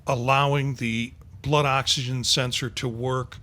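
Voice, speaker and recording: A man's voice: medium pitch, level tone, unhurried, sharp tone. A man in his sixties hosting a podcast panel, rambling along with many fillers. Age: 60s. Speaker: podcast host. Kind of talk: casual panel chat.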